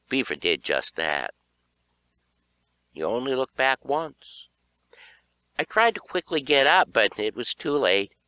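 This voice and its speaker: A man narrating a children's horror audiobook in an expressive storytelling style. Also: mid-range voice, clean tone, no room sound.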